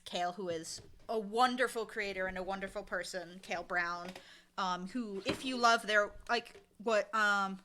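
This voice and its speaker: A woman speaking casually as an interview guest, animated and emphatic, with a playfully blunt way of talking. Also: mid-range voice. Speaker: interview guest, audio drama creator and casting director.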